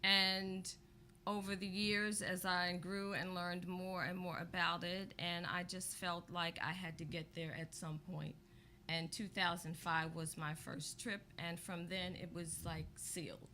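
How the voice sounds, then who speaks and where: A woman's voice: lowish voice, level tone, unhurried, pushed and bright, a little hiss or hum. A woman from Baltimore telling her story as an interview guest, with light laughs. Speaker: guest, charity founder. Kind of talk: interview answers.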